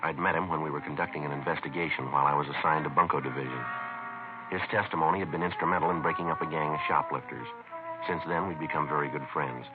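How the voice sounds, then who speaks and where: An actor playing a calm, matter-of-fact American police detective, clipped and deadpan, in old-time radio crime drama style. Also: male voice, a bass voice, fast. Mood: deadpan, factual. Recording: tinny vintage radio broadcast with music.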